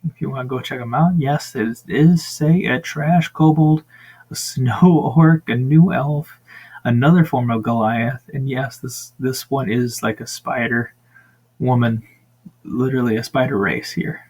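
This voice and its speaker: Raspy-voiced man reviewing crowdfunding pages. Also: mid-range voice, measured pace. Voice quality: frequent ums and uhs, relaxed rambling. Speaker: tabletop gaming channel host. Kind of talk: casual screen-share review.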